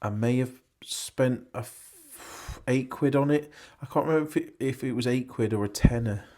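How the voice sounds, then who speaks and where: Hoarse English man chatting casually. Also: mid-range voice, moderate pace, dark tone. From England. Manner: rambling, self-correcting, chatty. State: losing his voice. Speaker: antiques reseller vlogger. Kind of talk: casual vlog chat.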